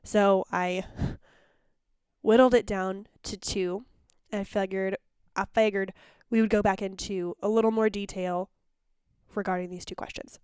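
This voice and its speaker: A woman's voice: medium pitch, fairly even intonation, a little slow, a little mellow, clean tone, no room sound, clean studio sound. A woman hosting her podcast in a casual, chatty solo monologue, sounding congested and phlegmy. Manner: chatty and candid, with restarts. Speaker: podcast host.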